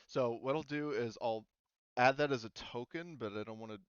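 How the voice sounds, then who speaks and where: Male game master, casual and sweary, clear resonant voice, dry and slightly dull voice-chat sound. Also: medium pitch, moderate pace, no room sound. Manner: casual, profane. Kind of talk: casual tabletop game session talk.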